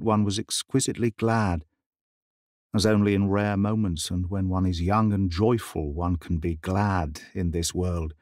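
English man narrating a story, low voice, very dry recording. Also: darkish tone, no room sound. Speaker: audiobook narrator. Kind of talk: literary narration.

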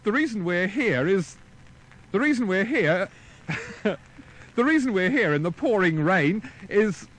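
A man's voice: sits high, big pitch swings, slow pace, long pauses, a bit bright. A man presenting on location outdoors in a broadcast style. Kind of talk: outdoor piece to microphone, repeated takes. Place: outdoors in rain under umbrella.

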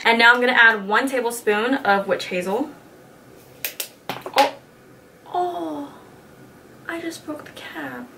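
A woman's voice: fairly high pitch, slow pace, gravelly, long pauses, background noise. A woman in her twenties chatting casually through a beauty tutorial, bubbly and slangy, swearing casually, in a small indoor studio. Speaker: beauty vlogger.

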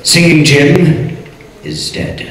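A man's voice: on the high side, big pitch swings. An actor playing a grave, solemn man breaking bad news in a live radio play. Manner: solemn, drawn-out. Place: hotel convention room, recorded from audience. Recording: echoey hall, distant camera mic, noise.

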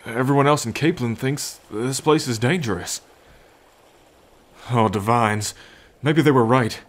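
A man playing a gentle, anxious lone fisherman in an ASMR roleplay, with a halting, reassuring manner. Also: mid-range voice, close to an everyday voice. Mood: startled concern.

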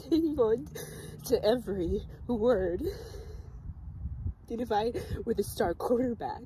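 A woman performing a dramatic monologue as a bitter, desperate teenage outcast on an emergency call, halting and emotionally intense. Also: fairly low pitch, warm tone, slight background noise, low-quality recording. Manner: slow, halting, emotionally intense delivery with pauses.

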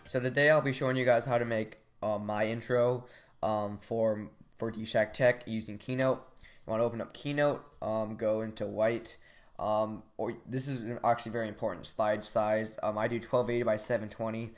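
A young man hosting a tech channel, talking through a software tutorial casually, with lots of ums and restarts, recorded on a desk webcam in a small room. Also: on the low side, fairly even intonation, moderate pace, faint music behind.

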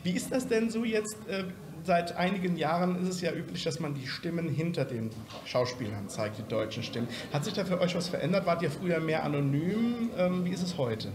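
A man's voice: a little high, lively intonation, a little fast, hardly any pauses. A man conducting a casual interview, enthusiastic and full of compliments. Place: indoor hallway at a convention. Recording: echoey room, faint background noise.

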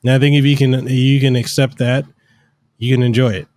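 A man's voice: medium pitch, little pitch movement, a little fast, darkish tone, no echo. A man co-hosting a podcast, talking casually and rambling through long explanations with fillers, on a studio mic at home. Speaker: podcast co-host. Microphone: studio mic on arm, headphones.

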